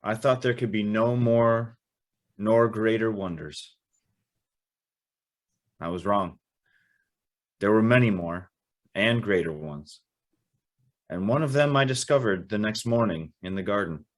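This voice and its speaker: A man's voice: on the low side, a little slow, pauses often, lightly acted. An actor playing an earnest, awestruck young man who also narrates an old-time radio drama. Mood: wondering narration. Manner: hesitant, stammering in dialogue.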